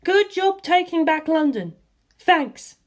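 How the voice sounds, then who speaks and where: Reader doing a high bright young heroine. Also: lively intonation, slow, heavily acted. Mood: relieved. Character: young woman warrior, cheerful and admiring. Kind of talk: audiobook reading.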